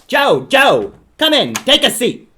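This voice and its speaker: A man's voice: very high-pitched, wildly swooping pitch, takes it slowly, pushed and bright, slight background noise, exaggerated voice. A man playing a brash, dismissive male boss in a noir radio drama, bossy and blustering.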